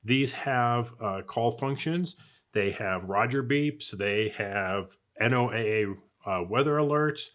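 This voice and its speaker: A man with a medium-pitched voice talks through a product review. He is casual and unscripted, chatting as he goes and thinking out loud in a conversational, everyday way. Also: slow. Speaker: product reviewer, channel host.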